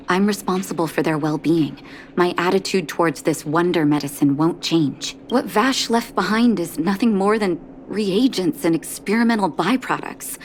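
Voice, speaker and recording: A woman playing a principled, caring doctor in a video game, measured and serious with weary pauses. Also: lowish voice, slight background noise, lightly acted. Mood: firm, disapproving.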